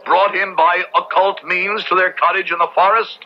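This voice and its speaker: A man's voice: high voice, level tone, moderate pace, lightly acted. A man playing a stern, righteous Puritan minister in old radio drama style, pompous and sermonizing in formal archaic speech. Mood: indignant. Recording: muffled, noisy old radio drama recording.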